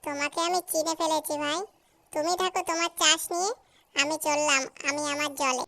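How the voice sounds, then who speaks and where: A big, gullible crocodile from a children's cartoon folktale. The voice is very high, with a bright, sharp edge. It chatters and grumbles like a schemer who keeps getting outwitted. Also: steady tone, brisk, vocal fry, heavily acted. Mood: aggrieved, grumbling.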